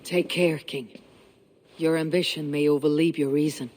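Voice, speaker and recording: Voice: mid-range voice, fairly even intonation, normal speed, a little mellow, no room sound, natural voice. A voice actor playing a blunt, confident Viking raider leader in a game cutscene, with dry wit. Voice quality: blunt, confident, dryly witty. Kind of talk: video game cutscene acting.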